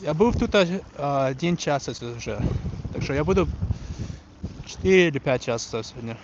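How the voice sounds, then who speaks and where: Ukrainian-born man, higher-voiced, dull outdoor audio. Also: expressive, normal speed, background noise. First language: not English, learned it after moving to the US. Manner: informal, switches between English and Russian. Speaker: fishing livestream host. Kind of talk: casual livestream chat, answering viewers. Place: outdoors on a riverbank in wind.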